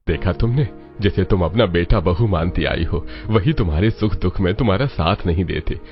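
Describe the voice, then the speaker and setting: A man plays a wise older father in a cartoon family story. His voice is very low and admonishing, slightly dull in the recording, with music behind. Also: lively intonation, normal speed. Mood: reproachful. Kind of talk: Hindi cartoon moral-story voice acting.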